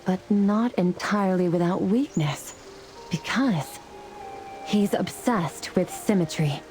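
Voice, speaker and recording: A solemn celestial warrior woman speaks in a slightly low, formal, declamatory voice. She sounds resolute, as a fantasy game heroine does. Music plays behind her with rain. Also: slow pace. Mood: confident. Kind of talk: scripted fantasy game voice acting.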